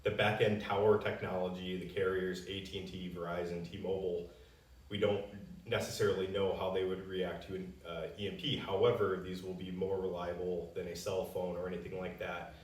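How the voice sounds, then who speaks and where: Company rep, male, American, low register, plain-spoken casual explainer, echoey distant pickup. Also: little pitch movement, fairly quick. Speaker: company representative answering customer questions. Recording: roomy echo, distant microphone.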